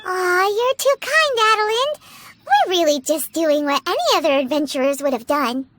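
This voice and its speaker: Squeaky, very high childlike fairy sidekick. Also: female voice, normal speed, soft background music. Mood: eager. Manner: speaks of self in third person, excitable. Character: tiny childlike floating fairy companion, perky and blunt. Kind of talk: video game character acting.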